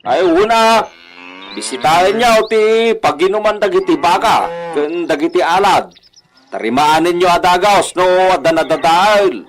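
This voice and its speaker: Man acting a ranch boss, high voice, commanding, instructing, Ilocano radio comedy drama. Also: expressive, normal speed, poor audio. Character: commanding ranch boss giving orders to hands.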